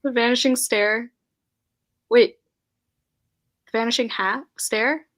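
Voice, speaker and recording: A woman's voice: fairly high pitch, fairly even intonation, slow, fairly bright tone. A young woman hosting a casual live-stream book chat, giggly and scattered, reading viewer comments aloud. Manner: giggly, scattered, laughs often.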